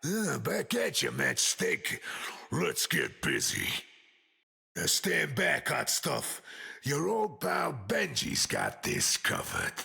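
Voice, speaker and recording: A gruff rock-skinned superhero bruiser from a video game, deeply gravelly and forceful. He talks in streetwise New slang, swinging up and down as he wisecracks, with a soft heart underneath. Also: wildly swooping pitch, slow, heavily acted. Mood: teasing banter. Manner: streetwise, slangy old-fashioned tough-guy talk. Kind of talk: video game hero banter.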